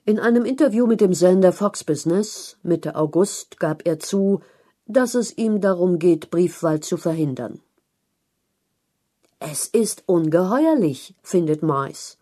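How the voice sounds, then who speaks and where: A woman reads a long-form news article as a narrator. Her voice sits fairly low and has a very mellow, dark tone. The delivery is scripted, measured and clearly articulated. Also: animated.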